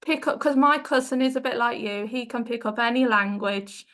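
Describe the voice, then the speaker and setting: A woman with a fairly high voice leads the interview. She asks questions in a friendly, chatty way and laughs easily between them. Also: steady tone, moderate pace, fairly bright tone. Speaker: interviewer host.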